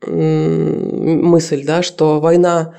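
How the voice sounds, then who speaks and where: A woman giving a radio interview as a foundation director. Her voice is mellow and soft-edged. She speaks earnestly but hesitantly, often pausing to find her words. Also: deep voice, level tone, vocal fry, clear, resonant tone. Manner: hesitant, many fillers, earnest.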